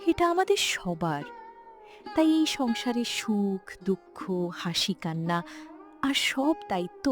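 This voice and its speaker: A woman's voice: medium pitch, very animated, unhurried, soft background music. A woman voicing a selfless younger village wife in a cartoon drama, earnest and emotional. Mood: devoted.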